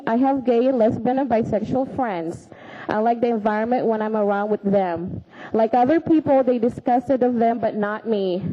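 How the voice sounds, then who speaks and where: A woman speaks at a podium, earnest and halting as she reads her prepared words. Her voice sits a little high. The recording is muffled and lo-fi. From Alaska, raised in the Philippines. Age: 20s. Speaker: public commenter. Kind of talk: reading a prepared statement. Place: city assembly chamber. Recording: dull lo-fi meeting recording.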